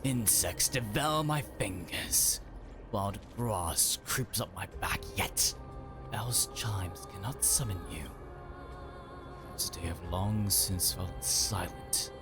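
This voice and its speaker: A man voicing a weary, fading soul who pleads for rest, read slowly and solemnly from game lines. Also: on the low side, over music, voice pushed down. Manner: slow, solemn dramatic reading. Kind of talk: dramatic reading of game lines.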